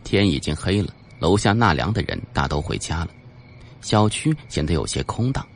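A man narrating a suspense novel as an audiobook, with a dramatic, deliberate storytelling delivery. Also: low-pitched, animated, a little hiss or hum.

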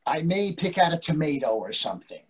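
A man's voice: fairly high pitch, very animated, brisk, slightly rough. A man in his sixties playing an anxious, devoted, fussy husband in a play reading over a video call, earnest and questioning. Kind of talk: readers theatre play reading.

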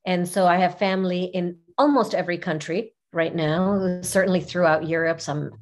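A middle-aged woman whose first language is Arabic, telling personal stories as an interview guest in an expressive way, repeating phrases for emphasis. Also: fairly low pitch, moderate pace. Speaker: podcast guest, image consultant. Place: small furnished room indoors, video call. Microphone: video call, seated at webcam distance.

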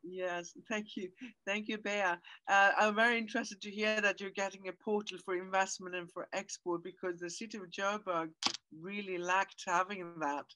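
A woman moderating a panel on a video call, with a bright, crisp voice. She keeps things moving with encouraging remarks and short replies. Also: mid-range voice, steady tone, normal speed, low-quality recording. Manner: encouraging, frequent short back-channel replies.

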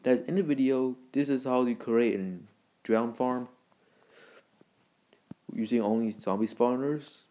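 A man hosting a gaming video with casual, rambling, halting commentary in informal words. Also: mid-range voice, warm tone. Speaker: gaming video creator and host.

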